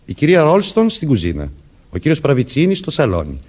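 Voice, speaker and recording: Stern, insistent detective sergeant, formal radio-drama delivery, highly animated intonation. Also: male voice, slightly rough, heavily acted. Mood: stern, commanding. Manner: commanding, formal, deliberate. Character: authoritative, insistent male police sergeant questioning suspects. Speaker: actor. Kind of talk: Greek radio theatre acting.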